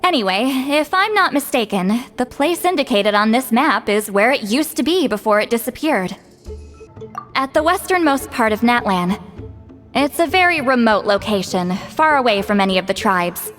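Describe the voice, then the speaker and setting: Elderly village spirit-medium, female: wry, unhurried, slightly high, game dialogue over soft music. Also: expressive. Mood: solemn storytelling. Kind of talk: video game voice acting.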